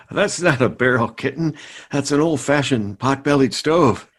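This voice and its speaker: A man's voice: medium pitch, expressive, a little slow. An actor playing a flustered, well-meaning middle-aged father who stammers, in a radio sitcom. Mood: cheerful. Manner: stammering, harried.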